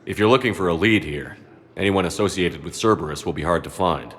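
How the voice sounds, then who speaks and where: An actor playing a steadfast, protective military commander in a video game, with a terse, grave and restrained delivery. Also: male voice, low-pitched, a little fast, fairly bright tone, in a large hall. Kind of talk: dramatic video game acting.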